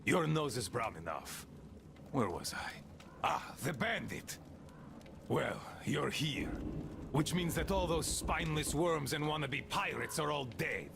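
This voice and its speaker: An actor playing a domineering, sardonic gang leader in a video game, gruff and pompous, rambling with sneering contempt. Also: male voice, a little high, animated, unhurried, background noise. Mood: scornful, smug.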